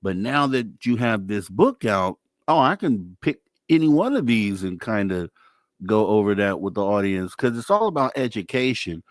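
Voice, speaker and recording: A man's voice: fairly low pitch, animated, normal speed, no room sound, studio quality. A man hosting a live radio talk show in a casual, informal, effusive way.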